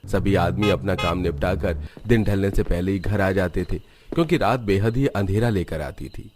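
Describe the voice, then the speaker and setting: A man's voice: sits low, moderate pace, noisy background. A man narrating a scripted cartoon village story.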